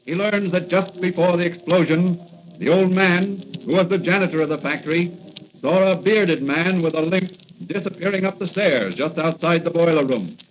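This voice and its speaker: An actor as the narrator of an adventure serial, telling the story with emphatic, suspenseful drama in 1940s radio style. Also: male voice, high voice, moderate pace, close to an everyday voice. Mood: measured suspense. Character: dramatic male announcer of a thrilling adventure serial. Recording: muffled, noisy vintage radio recording.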